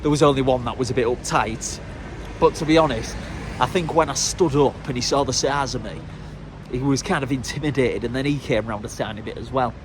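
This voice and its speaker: A man's voice: mid-range voice, brisk, noisy background. A man talking casually to camera as a travel vlogger, chatty and rambling with self-mocking humor.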